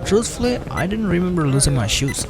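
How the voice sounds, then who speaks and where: A man's voice: high voice, big pitch swings, fairly quick, music in the background, poor audio. A man reading a scripted first-person horror story as narrator, with a brooding, dramatic delivery.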